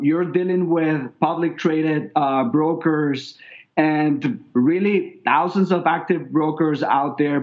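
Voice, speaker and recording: A man's voice: a little high, darkish tone, muffled recording. A man from Venezuela with a Venezuelan Spanish accent, explaining things conversationally as an interview guest. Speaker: company founder, interview guest.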